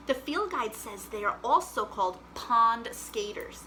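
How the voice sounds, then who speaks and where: A woman's voice: fairly high pitch, lively intonation, a little slow, pushed and bright, slight background noise. A woman voicing a patient, encouraging mother who explains things to her child in a picture book read-aloud. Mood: explaining. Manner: instructive, encouraging.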